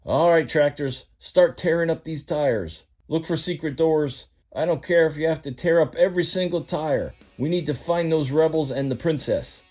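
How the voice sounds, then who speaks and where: A man's voice: a little high, moderate pace, hardly any pauses, darkish tone, soft background music, voice pushed up, natural voice. A man doing a bossy tractor commander. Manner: barking orders.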